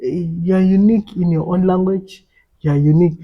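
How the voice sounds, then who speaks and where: A man's voice: high-pitched, fairly even intonation, a little fast, lo-fi sound. A young African man talking earnestly in a casual podcast conversation, often repeating and restarting his words.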